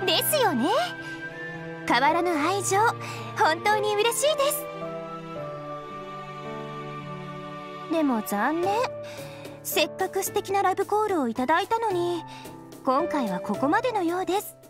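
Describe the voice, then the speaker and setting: A woman's voice: very high-pitched, very animated, moderate pace, over music. A woman voicing a devilish young female AI in an anime game, coy and polite with a mock-sweet, sinister edge. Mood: sweet, mock regret. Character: teasing, devilish young female moon super AI, sweetly menacing. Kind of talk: Japanese anime game voice acting.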